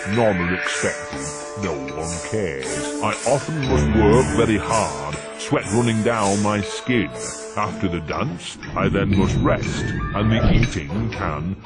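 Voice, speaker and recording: A man's voice: lowish voice, lively intonation, takes it slowly, few pauses. A man voicing a pompous, macabre showman villain in a video game, reciting theatrically with sinister relish. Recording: loud music under the voice.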